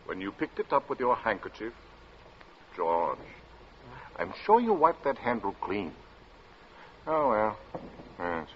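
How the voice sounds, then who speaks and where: An actor playing a sly, unflappable, mockingly genial gentleman in a 1940s radio drama. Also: on the low side, sing-song, takes it slowly, pauses often. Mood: mock innocent. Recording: muffled hissy 1940s radio recording.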